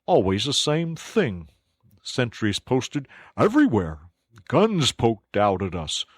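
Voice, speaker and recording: A man's voice: mid-range voice, very animated, slow, slightly rough, dry recording, studio quality, voice pushed up, exaggerated voice. A man voicing an agitated, complaining male mole in a storybook reading. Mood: aggrieved. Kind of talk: audiobook character voice.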